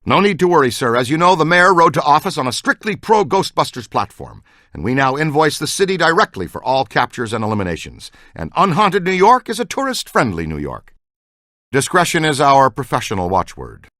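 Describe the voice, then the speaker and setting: An eager, earnest ghost-hunting guy from a video game. His voice is fairly high and very lively, recorded dry and close. He talks in technical jargon and urgent call-outs. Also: fairly quick, no echo, heavily acted. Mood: upbeat, instructive. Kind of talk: video game character dialogue.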